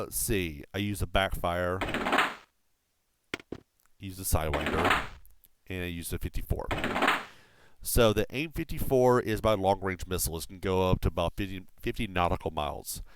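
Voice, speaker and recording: A man's voice: low-pitched, unhurried, long pauses, heavy background noise. A man streaming a flight combat game, explaining it casually on a desk mic, rambling with lots of fillers and the odd swear word. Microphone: desk microphone through a mixer.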